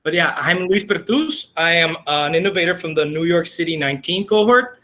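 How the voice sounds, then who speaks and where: Man, bright, lively voice. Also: fairly high pitch, fairly quick. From Colombia. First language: Spanish. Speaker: webinar panelist, technology integrator. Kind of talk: casual video call chat. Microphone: video call.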